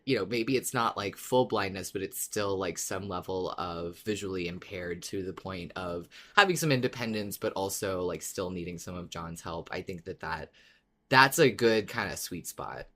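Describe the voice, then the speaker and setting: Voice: low voice, normal speed, fairly bright tone. A podcast co-host who reads listener submissions and talks them over casually, often repeating words while thinking.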